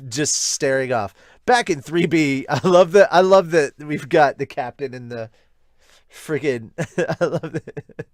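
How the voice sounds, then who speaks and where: Casual, higher-voiced man reacting to manga. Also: slow, no room sound, clean studio sound. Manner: amused, chuckly, excitable asides. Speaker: host of a manga review podcast. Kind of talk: casual manga read-along and reaction commentary.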